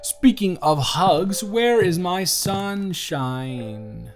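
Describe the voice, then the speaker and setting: A man playing a tender, reassuring young boyfriend in an intimate roleplay audio, speaking in a gentle, affectionate, soothing way. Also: high voice, lively intonation, very slow, exaggerated voice. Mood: cheerful, playful. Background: instrumental music.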